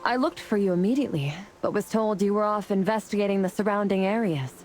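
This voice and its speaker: Woman doing noble celestial heroine, formal and composed, mid-pitched voice, music underneath, fantasy game. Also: quick pace, a little mellow, natural voice. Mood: firm, puzzled. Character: noble, solemn, resolute female celestial warrior. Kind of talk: scripted game voice acting.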